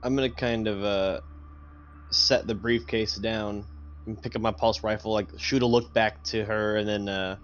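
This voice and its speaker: A man playing a tabletop game, describing his character's moves haltingly as he thinks aloud. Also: lowish voice, fairly even intonation. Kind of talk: casual improvised description of his character's actions. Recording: muffled mic with clear background noise.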